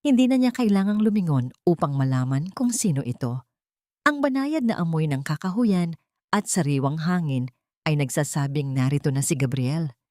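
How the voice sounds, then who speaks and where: A woman reads a scripted dramatic story as an audiobook narrator, in an earnest, sentimental and measured way. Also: low-pitched, lively intonation, a little mellow, no room sound, studio quality.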